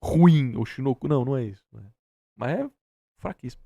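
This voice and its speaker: A man's voice: mid-range voice, animated, fast, creaky, dark tone, no room sound. A Brazilian man co-hosting a reaction show, commenting casually in a sarcastic, argumentative and emphatic way.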